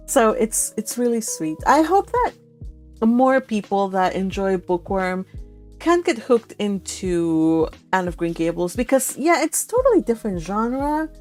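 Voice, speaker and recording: A woman chatting casually and giggly as host of a book club read-along. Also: medium pitch. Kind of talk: casual chat.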